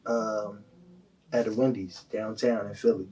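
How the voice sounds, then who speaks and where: A man's voice: lowish voice, unhurried, recorded from a distance, a little hiss or hum, rough recording. A young man, a guest telling his story in casual slang full of fillers. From Delaware County, Pennsylvania. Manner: animated, heavy slang, lots of fillers.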